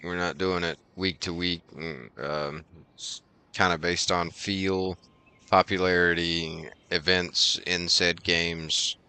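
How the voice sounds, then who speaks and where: Deep-voiced, slow-talking male game streamer. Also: flat delivery, bright, forceful tone, a little hiss or hum. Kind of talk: casual livestream chat over gameplay.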